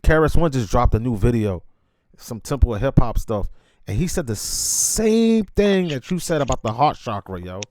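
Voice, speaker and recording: A man's voice: mid-range voice, sing-song, normal speed, darkish tone. A man hosting an interview in casual, slangy chat, affirming and enthusiastic toward his guest. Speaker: interviewer, host.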